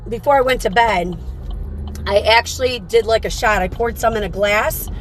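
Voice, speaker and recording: A woman hosting a casual morning livestream, chatty and upbeat, her voice slightly raspy from a cold. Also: a little high, normal speed, soft background music. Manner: upbeat, chatty, greets viewers by name. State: fighting off a cold. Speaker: livestream host.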